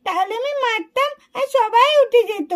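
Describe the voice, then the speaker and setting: A woman's voice: extremely high pitch, moderate pace. A woman voicing a stubborn, curious little village girl in a children's puppet cartoon, with a whiny, insistent way of talking. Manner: whiny, insistent, repeats demands.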